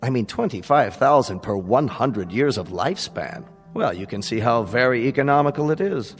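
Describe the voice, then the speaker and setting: An actor in a radio drama playing a smooth, courteous salesman with polished, businesslike patter. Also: mid-range voice, lively intonation, moderate pace, lightly acted. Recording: long hall reverb, music underneath.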